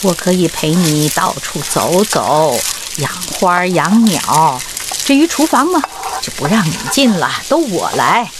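A woman's voice: on the low side, very animated, a little slow. An actress playing an older professor's wife in a Chinese radio drama, sighing and exclaiming as she affectionately grumbles. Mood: fond grumbling. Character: professor's wife nearing retirement, fond grumbling homemaker. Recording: noisy, kitchen sizzle and stirring behind.

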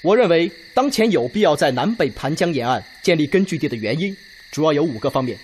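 A methodical Red Army officer presses his case point by point in a radio drama. He is emphatic, with a fairly high, very varied pitch. Faint noise sits in the background. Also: big pitch swings, fast talker, darkish tone. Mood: insistent, methodical. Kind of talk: Chinese radio drama acting.

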